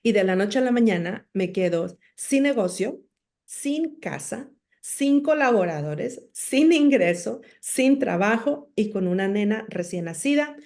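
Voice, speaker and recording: A woman's voice: medium pitch, expressive, unhurried, a little mellow. A middle-aged woman from Monterrey with a northern Mexican accent, telling her personal story as an interview guest in an articulate, emphatic way. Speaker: podcast guest, entrepreneur and speaker.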